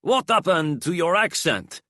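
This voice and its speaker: A man voicing a shrill suspicious guard. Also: very animated, unhurried, pushed and bright, no echo, studio quality, full character voice. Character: frantic male guard, demanding, suspicious.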